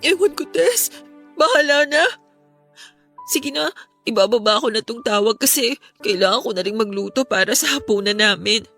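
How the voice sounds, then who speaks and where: A frightened, ashamed schoolgirl in an emotional radio drama. Her voice is high, meek and sorrowful, with soft music in the background. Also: lively intonation, normal speed. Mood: downcast. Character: ashamed, fearful, downhearted schoolgirl daughter.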